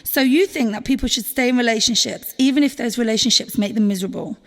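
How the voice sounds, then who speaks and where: A woman's voice: on the high side, fast talker, long reverb tail, close to an everyday voice. A woman acting a loving, exasperated girlfriend in an audio drama, emotional, pleading and informal, with some swearing. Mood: hurt, pleading. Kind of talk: naturalistic audio drama dialogue.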